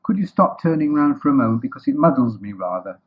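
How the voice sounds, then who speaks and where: A man voicing an earnest, hesitant, muddled storybook bear with a halting way of talking. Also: mid-range voice, sing-song, slight background noise, pitched above the performer's own voice, lightly acted. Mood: earnest, unsure. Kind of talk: children's storybook character voice. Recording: thin phone-like, muddy old recording.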